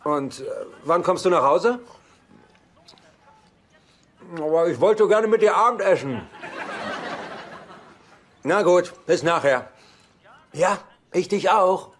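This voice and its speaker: A sarcastic womanizing bachelor in a sitcom dub. His voice sits fairly high and swings animatedly, sulky and dry. Faint noise runs behind the soundtrack. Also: expressive, a little slow, pauses often. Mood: questioning. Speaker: lead actor in German sitcom dub. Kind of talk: dubbed sitcom dialogue.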